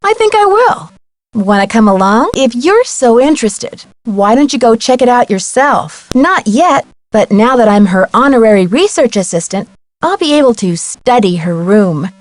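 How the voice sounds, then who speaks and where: A woman playing a curious, plucky young amateur detective in an adventure game, chirpy and clearly spoken. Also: on the high side, big pitch swings, normal speed, no room sound. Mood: casual, wry. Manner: inquisitive, chirpy, clearly articulated. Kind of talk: scripted adventure game dialogue.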